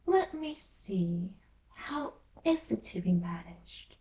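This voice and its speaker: Woman as little storybook girl, polite, earnest, puzzled, slow pace, roomy echo. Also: medium pitch, big pitch swings, dark tone. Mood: musing. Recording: roomy echo, distant from mic.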